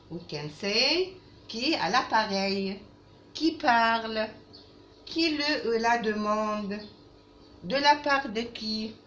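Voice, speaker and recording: Woman teacher, slow and animated, roomy recording. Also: fairly high pitch. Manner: didactic, repeats phrases, switches into halting English. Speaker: French teacher. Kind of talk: language lesson for children, with repetition. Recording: roomy, muffled lo-fi, faint background noise.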